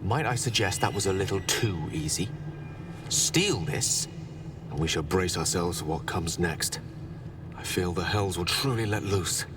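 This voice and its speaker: Deep-voiced, wary adventurer. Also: male voice, animated, measured pace, distant mic, background noise. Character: wary, eloquent male adventuring companion. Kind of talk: video game character acting.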